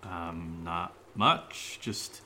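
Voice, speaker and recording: A man's voice: deep voice, very slow, pushed and bright, slight background noise, exaggerated voice. An actor playing a nervous young man who rambles and stammers through a spooky story in a horror audio drama. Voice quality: hesitant, stammering, rambling with many fillers.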